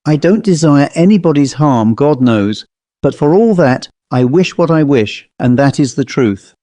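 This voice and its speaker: A man's voice: on the high side, expressive, a little slow, mellow, dry recording. A man voicing a tearful, fretful young maid who pleads and keeps repeating "ma'am". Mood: pleading. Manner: pleading, breathless repetition of ma'am.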